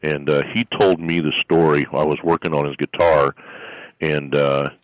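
Very deep-voiced middle-aged man. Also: fairly even intonation, moderate pace, no room sound, highs cut off. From South Florida, USA. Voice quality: very deep bass voice. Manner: rambling, lots of fillers and restarts. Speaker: guest, strength coach and musician. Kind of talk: casual interview storytelling.

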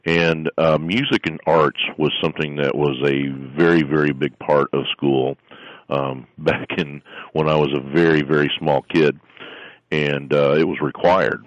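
A middle-aged man from South Florida with a very deep bass voice, telling stories in a casual interview with lots of fillers and restarts. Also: no room sound, lo-fi sound. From South Florida, USA. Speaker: guest, strength coach and musician.

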